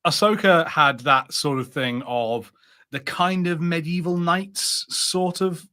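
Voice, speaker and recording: A man with a slightly high voice talks as a podcast co-host. He chats casually through a review, musing in a conversational, slightly hesitant way. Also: slow, pushed and bright.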